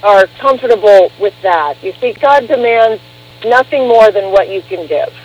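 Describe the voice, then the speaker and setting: A woman's voice: medium pitch, lively intonation, normal speed, breathy edge, muffled recording. A woman guest on a radio interview, telling her story emphatically and with animation.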